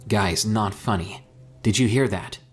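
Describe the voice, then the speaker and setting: A man voicing Mika, a quick-tempered young man shouting urgently into a radio. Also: fairly low pitch, faint music behind, natural voice. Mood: panicked.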